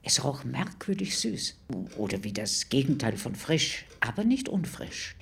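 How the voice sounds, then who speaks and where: An actress playing a chatty neighbour in a mock radio documentary, husky and rambling, with hesitant, digressive recollections. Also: female voice, a bass voice, very animated, a little slow, a little hiss or hum, heavily acted. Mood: puzzled recollection. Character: chatty female neighbour recalling a stench, digressive. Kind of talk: mock documentary interview, spontaneous-sounding monologue.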